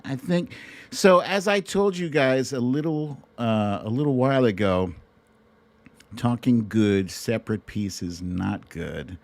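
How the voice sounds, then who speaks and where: A middle-aged man hosts a livestream in an unhurried, conversational style. He rambles a little and speaks straight to his audience. The sound is dry and close, with a medium-pitched voice. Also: lively intonation, a little mellow, dry recording. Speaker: host of a livestream show. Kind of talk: casual, chatty livestream talk to viewers. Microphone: streaming microphone at a laptop setup.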